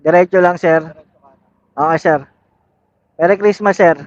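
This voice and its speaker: A man's voice: high voice, steady tone, unhurried, lo-fi sound. A man with a Filipino accent chatting casually as a motorcycle vlogger, in informal, upbeat street talk mixed with English. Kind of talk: casual vlog chat to viewers while riding. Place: outdoors on a motorcycle in city streets.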